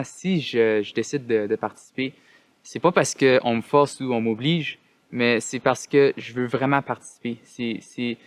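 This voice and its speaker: A young man with a Canadian French accent speaks in a medium-pitched voice. He talks earnestly and a little hesitantly, with frequent pauses on fillers and the odd restart. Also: dry recording. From northern Ontario, Canada. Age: young adult. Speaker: student resident giving a testimonial. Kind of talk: personal testimonial.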